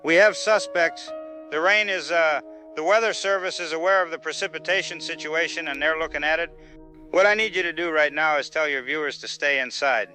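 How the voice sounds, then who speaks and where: Sharp-voiced, flustered sheriff, played by a man. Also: fairly high pitch, faint music behind, poor audio. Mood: officious, uneasy. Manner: halting, repetitive, officious. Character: evasive, flustered small-town sheriff holding the official line. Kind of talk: phone interview in audio drama newscast.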